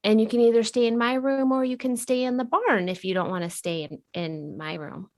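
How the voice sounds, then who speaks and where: A woman's voice: on the high side, fairly quick, darkish tone, no echo, studio quality. A woman playing a tabletop role-playing game, chatting casually, improvising lines and reacting to the story.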